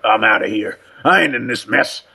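A man voicing a frightened old homeless man with a hoarse voice and rough street speech in a story. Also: fairly low pitch, lively intonation, moderate pace, bright, forceful tone, exaggerated voice. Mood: scared, backing away.

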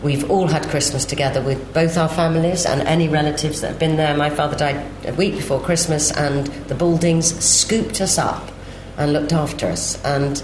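A woman's voice: deep voice, steady tone, normal speed, hardly any pauses. A woman panelist telling a heartfelt personal story at a live event. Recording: echoey room, faint background noise.

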